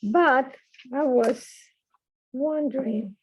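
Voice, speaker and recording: Hoarse woman speaking slowly. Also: sits high, darkish tone, dull audio, a little hiss or hum. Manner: slow, hesitant, clearing her throat. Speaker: board member.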